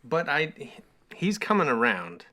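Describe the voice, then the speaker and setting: Male co-host with a sharp, bright voice, casual and opinionated, joking among friends. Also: on the high side, very animated, a little slow. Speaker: podcast co-host.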